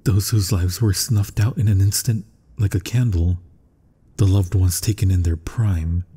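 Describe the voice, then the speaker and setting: Man acting as husky, slow-spoken mad scientist. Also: sits low, fairly even intonation, warm tone. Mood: hushed, grave. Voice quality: husky, breathy, often dropping to a whisper. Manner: theatrical, deliberate, formal. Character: proud, obsessive male mad scientist, courteous and macabre. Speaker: ASMR roleplay voice performer. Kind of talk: ASMR gothic horror roleplay.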